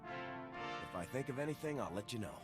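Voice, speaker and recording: A man's voice: medium pitch, very animated, normal speed, over loud music, close to an everyday voice. An actor playing a confident male lieutenant in a video game, talking in a dry, blunt, businesslike way. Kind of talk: video game character acting.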